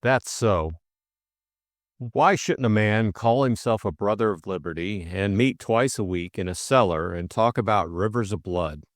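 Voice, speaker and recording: A man voicing a stolid middle-aged police superintendent, cautious, measured and plain-spoken, in an audiobook story. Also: on the low side, no room sound, studio quality, close to an everyday voice.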